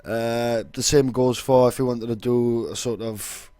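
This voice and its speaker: A young Welsh-accented man explains casually, rambling and swearing, with plenty of fillers. His voice sits at a middling pitch. The recording is dry and close, with no room echo. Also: steady tone, unhurried, dark tone. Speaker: vlogger.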